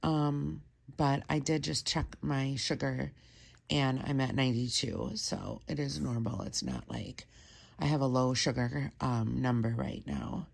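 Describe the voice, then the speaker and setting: A low-pitched woman with a gravelly, creaky voice talks casually into a handheld phone camera in her home kitchen. She is a little stuffy-nosed and rambles along in easy vlog chat. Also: steady tone, unhurried. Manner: chatty, rambling, with soft asides. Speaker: vlogger.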